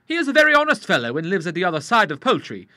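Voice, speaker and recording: A man's voice: sits high, wildly swooping pitch, rapid-fire, pushed and bright, no room sound, clean studio sound, voice pushed up, heavily acted. A man voicing an earnest, plain-spoken young man who is suspicious, in an audiobook. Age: young adult.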